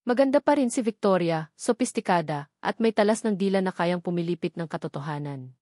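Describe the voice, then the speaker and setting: A woman's voice: on the low side, lively intonation, a little fast, mellow, no room sound, studio quality. A woman with a Filipino accent reads a dramatic romance story as a scripted narration.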